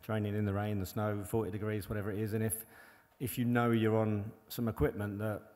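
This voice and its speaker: Man, fairly low voice, rambling guest talk, lots of fillers, echoing hall. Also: flat delivery, a little fast, a little mellow. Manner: rambling, many "you know" and restarts. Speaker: bike engineer and former pro racer. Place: large hall on stage before an audience. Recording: long hall reverb.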